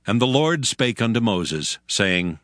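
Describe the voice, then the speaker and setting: Male scripture narrator: formal, very unhurried, lively intonation, dry close sound. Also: medium pitch, no echo. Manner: formal, deliberate announcing.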